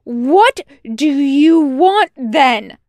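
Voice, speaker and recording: A woman's voice: high voice, animated, drawn-out words, a little mellow, no echo, clean studio sound, pitched above the performer's own voice, exaggerated voice. A woman voicing a bitter, guilt-ridden male soldier with clipped, volatile delivery in a dramatic story reading. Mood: furious, snapping. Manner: snapping outbursts, clipped curt replies. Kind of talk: dramatic fan fiction reading.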